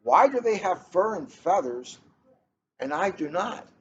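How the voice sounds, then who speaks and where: A middle-aged man with unhurried, deliberate phrasing, chatting casually and reading a storybook aloud. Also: sits high, very animated. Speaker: police officer reading a picture book aloud. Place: coffee shop, indoors. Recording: muffled, noisy room recording.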